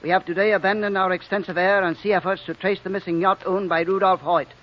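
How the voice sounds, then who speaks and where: Man doing earnest navy admiral, highish voice, official n exasperated, muffled old radio show. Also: little pitch movement, fast talker, natural voice. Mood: grave, official. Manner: formal, official, emphatic. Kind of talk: vintage radio drama acting.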